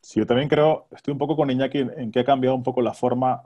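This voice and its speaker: A man's voice: mid-range voice, fairly even intonation, quick pace, highs cut off. A man in his forties with an accent from Spain, talking conversationally as a journalist on a video call and repeating words as he thinks aloud. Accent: Spanish from Spain. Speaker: radio journalist. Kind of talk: conversational panel discussion. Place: home study, on a video call. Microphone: earphone headset on a video call.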